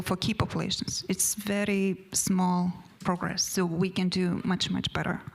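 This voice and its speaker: A woman with a Lithuanian accent, a non-native English speaker giving a conference panel presentation from notes, with many hesitations and restarts. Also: mid-range voice.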